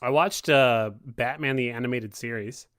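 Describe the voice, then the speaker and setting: A man's voice: medium pitch, a bit bright. Rambling man in casual podcast chat. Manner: rambling, halting, tongue-in-cheek.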